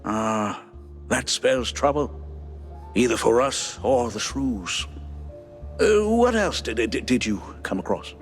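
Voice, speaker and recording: A man's voice: mid-range voice, expressive, slow pace, pauses often, soft background music. An actor playing a nervous, genial male cartoon mouse in a game, stammering and hesitant, with pompous wording. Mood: worried, curious.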